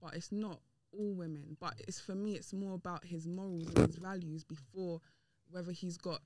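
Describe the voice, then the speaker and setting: A woman's voice: on the low side, fairly even intonation, normal speed, background noise. A woman speaking as a podcast guest in a casual discussion, candid, emphatic and opinionated. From Dominican and Nigerian heritage.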